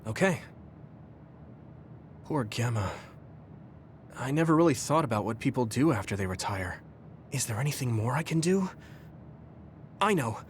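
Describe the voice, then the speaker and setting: A man playing an earnest, caring young soldier in a video game, speaking sincerely and clearly. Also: medium pitch, lively intonation, moderate pace, pauses often, a little hiss or hum, natural voice. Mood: concerned, helpful. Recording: background music under dialogue. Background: game soundtrack music.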